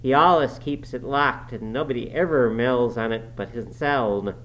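A man voicing a grumbling, pious old manservant in broad Yorkshire dialect, sneering and scolding with drawn-out words. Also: mid-range voice, a bit bright, natural voice. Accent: broad Yorkshire dialect, put on.

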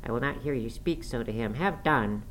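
A man's voice: normal speed, fairly bright tone, close to an everyday voice. A man voicing a loyal, earnest young man in an audiobook, muttering with reproach. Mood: hushed reproach. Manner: muttered, urgent, low-key. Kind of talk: audiobook character acting. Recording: clearly noisy recording.

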